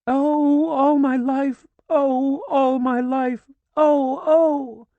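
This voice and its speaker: A man's voice: animated, unhurried, no room sound, phone-quality sound. A man reading an audiobook, voicing a hysterical older woman who wails, pleads and screams in grief. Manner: shrill, frantic pleading and screaming. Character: hysterical grieving older woman, wailing and shrieking. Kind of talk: audiobook character voice.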